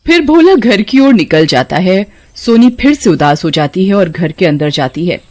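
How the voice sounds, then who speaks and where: A woman's voice: fairly low pitch, very animated, moderate pace, darkish tone. A woman narrator reading a scripted children's story.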